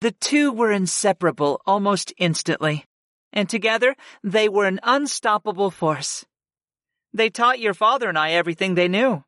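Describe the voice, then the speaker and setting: A man voicing a calm, gentle aunt who speaks softly, in an audiobook. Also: sits high, moderate pace, no room sound, studio quality, voice pushed up. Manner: soft-spoken. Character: calm, gentle middle-aged aunt, a mage. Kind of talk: audiobook character voice.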